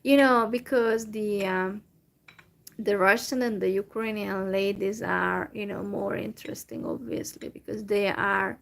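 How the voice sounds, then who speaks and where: A young woman from Central Europe chatting casually to her viewers in a halting way, with many fillers and restarts. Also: medium pitch, fairly even intonation, vocal fry. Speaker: vlogger. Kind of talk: casual solo chat to viewers.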